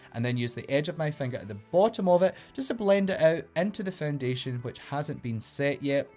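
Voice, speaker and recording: A man's voice: medium pitch, a little mellow. A man with a Glaswegian Scottish accent, a drag queen hosting a makeup tutorial in an upbeat, playful way and giving step-by-step instructions. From Glasgow, Scotland.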